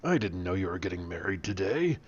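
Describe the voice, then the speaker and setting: A man voicing a tough, earnest action hero in a comic story, delivering deliberate, melodramatic one-liners. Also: low-pitched, very animated, breathy edge, muffled recording, voice pushed down, heavily acted. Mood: indignant.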